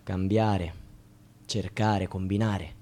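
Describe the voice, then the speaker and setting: An actor playing a despairing student who prays in vain, in a declamatory, poetic radio drama monologue that moves between hushed and shouted. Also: male voice, low-pitched, fairly even intonation, slow pace. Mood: bitter despair. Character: despairing student trapped in a system, praying in vain.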